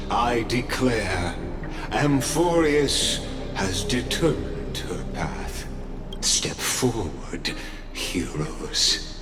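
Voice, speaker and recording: Grandiloquent game elder, courteous and unreadable; measured formal delivery, echoing, music loud behind. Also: male voice, on the low side. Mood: proclaiming. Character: solemn, grandiloquent male elder overseer, courteous and inscrutable. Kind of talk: theatrical video game voice acting.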